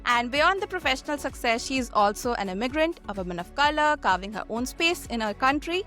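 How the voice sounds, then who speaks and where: A woman's voice: sits high, expressive, fairly quick, sharp tone, soft background music. A woman hosting a podcast, giving prepared introductions and asking interview questions. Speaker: podcast host.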